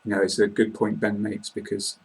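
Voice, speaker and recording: Husky, low young British man. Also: completely flat delivery, brisk, rough recording. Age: 20s. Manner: polite, interviewer, plain explaining. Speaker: host, English teacher. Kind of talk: casual interview chat. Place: small indoor room. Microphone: laptop webcam.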